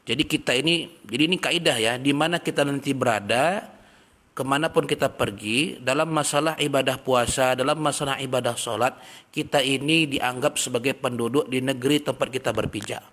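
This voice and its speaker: A man teaching a religious study lesson, reading passages in Arabic and then explaining them in a relaxed, chatty way with everyday examples. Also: medium pitch, a little fast. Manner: reads Arabic text, then explains in plain talk. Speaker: Islamic teacher.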